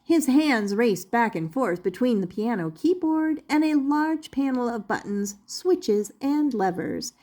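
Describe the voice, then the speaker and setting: An older woman narrates a children's story. Her voice sits fairly high and moves up and down with great liveliness. She reads deliberately and very slowly, lingering on key words. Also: expressive, a little mellow. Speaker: storyteller reading aloud to children. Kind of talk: expressive children's picture-book narration.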